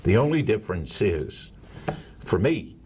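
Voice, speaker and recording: A man's voice: on the low side, sing-song, a little slow, a little mellow, slight background noise. An older man, a veteran broadcaster, chatting as an interview guest and telling stories with jokes at his own expense. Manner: chatty, joking storyteller. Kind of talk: casual interview chat.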